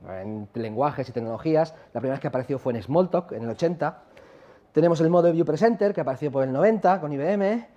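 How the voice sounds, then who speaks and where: A man's voice: a little high, expressive, fast, mellow, lo-fi sound. A man from La Mancha with a Castilian Spanish accent, giving a chatty conference talk and throwing questions at the audience. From La Mancha, Spain. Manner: chatty, asks the audience questions, many tag questions. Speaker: conference speaker. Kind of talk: live tech conference talk.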